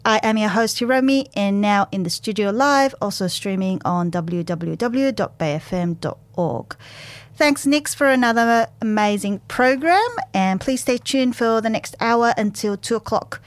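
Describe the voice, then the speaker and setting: A woman with a Japanese accent hosting a community radio show in a studio, chatty and quick to laugh. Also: mid-range voice. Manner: chatty, laughs often, switches to rapid Japanese. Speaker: radio host.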